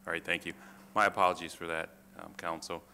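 A man presenting to a city council as a fire department official, halting, with many fillers and restarts. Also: deep voice, level tone, gravelly, sharp tone. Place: council chamber. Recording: reverberant chamber, faint room noise.

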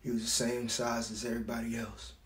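A man's voice: mid-range voice, flat delivery, fairly quick, close to an everyday voice. A man acting a dramatic stage monologue as a proud, wounded grown son speaking to his father. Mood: disillusioned. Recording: echoey room.

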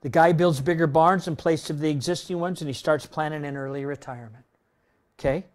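A man in his sixties preaching a sermon in a church room, conversational, tossing in "right?" and swinging between loud and soft. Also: on the high side, fairly even intonation, brisk. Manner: conversational preaching, tag questions, dynamic swings in loudness. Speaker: pastor. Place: church room with projector screen.

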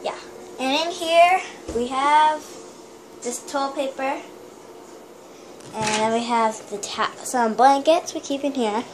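Young woman, rambling home tour, unhurried talk, fairly high voice, handheld phone, hissy background noise. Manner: rambling, giggly, chatty. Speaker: vlogger giving a home tour. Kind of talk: casual home tour narration. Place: small house, kitchen and bathroom. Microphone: handheld phone camera. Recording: noisy home phone video.

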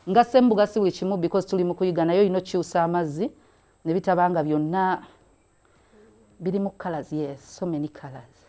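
Low-voiced woman demonstrating crafts. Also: fast talker, warm tone. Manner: mixes languages, chatty and encouraging. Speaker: craft show host. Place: indoor room at a craft table.

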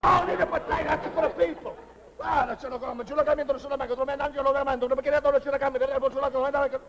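A man's voice: very high voice, fairly even intonation, very fast, muffled recording, crowd noise. A man playing a jolly old-country Italian cook with an Italian accent, rambling in broken English before a live audience. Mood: jovial. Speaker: comic cook. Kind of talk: live comedy sketch. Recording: live audience laughter, noisy old recording.

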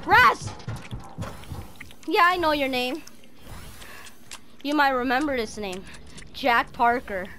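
A high-pitched young voice improvises game roleplay with friends. The delivery is slow and very lively in pitch, over a clearly noisy voice chat.

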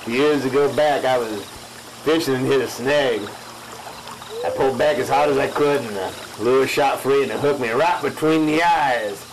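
A man's voice: mid-range voice, moderate pace, slight background noise, lightly acted, low-quality recording. A man playing a grizzled fisherman in a parody radio drama, telling his grim tale in a folksy, grave way. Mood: wry. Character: grizzled veteran muskie fisherman recounting a grim ordeal, spoofing a salty sea hunter.

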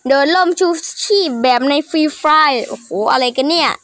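A child improvising character voices for a cartoon skit, excitable and full of repeated exclamations. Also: high voice, normal speed, no room sound, studio quality. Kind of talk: improvised voicing of characters in a game-animation skit.

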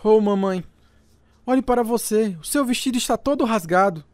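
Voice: medium pitch, moderate pace, a little mellow, dry recording, close to an everyday voice. A performer playing an indignant, bossy young boy, the eldest son in a radio drama. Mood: bitter, indignant. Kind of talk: radio drama dub acting.

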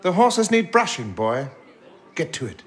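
An actor playing a sneering older manservant in a video game. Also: male voice, on the high side, sing-song, unhurried. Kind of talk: video game cutscene acting.

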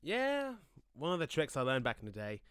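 A man's voice: medium pitch, huge pitch swings, moderate pace, fairly bright tone, clean tone, no echo, studio quality. A man playing a playful, casual, laid-back male ghost who likes pranks, in an audio play.

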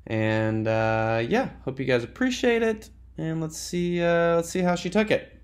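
A man's voice: on the high side, expressive, measured pace. A young man narrating his vlog and teasing his girlfriend in casual banter. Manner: teasing, joking. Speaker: vlogger.

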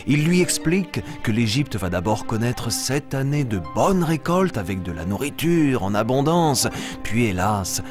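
Eager, animated young lion storyteller. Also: male voice, medium pitch, measured pace, music in the background. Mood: storytelling. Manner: expressive, simple and clear telling. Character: eager young boy lion, cheerful storyteller of Bible tales. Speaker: storyteller of a children's show. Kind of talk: animated storytelling for small children.

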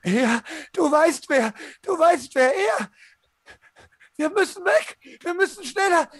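A man's voice: very high-pitched, animated, takes it slowly, fairly bright tone, dry recording, clean studio sound, voice pushed up, full character voice. A man voicing a terrified captive woman in a tabletop game, pleading urgently and repeating himself. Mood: desperate pleading. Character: terrified, desperate captive woman begging for rescue.

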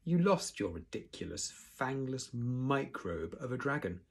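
Guy as a mean viking boy bully in a storybook, slow drawly jeering. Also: fairly low pitch, big pitch swings, breathy edge, heavily acted.

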